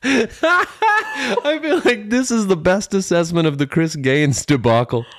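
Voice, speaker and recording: A lively man co-hosting a comedy podcast, with pitch that swings around a lot. He tells jokey stories casually and leans on emphatic repetition. The sound is close and dry. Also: slow, dry recording. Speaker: podcast co-host.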